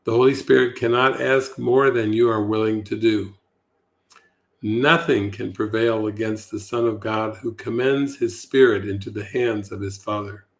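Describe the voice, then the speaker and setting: A man speaking as a spiritual teacher in a devotional setting, with a measured and earnest delivery. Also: lowish voice, fairly even intonation. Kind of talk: devotional reading and informal spiritual talk.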